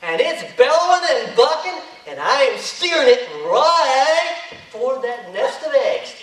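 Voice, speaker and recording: A man's voice: very high voice, measured pace. A man telling a tall tale as a contest speaker, acting out the action with big swings in his voice. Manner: animated storyteller, acting out the action. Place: hotel conference room. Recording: echoey room, recorded from far off.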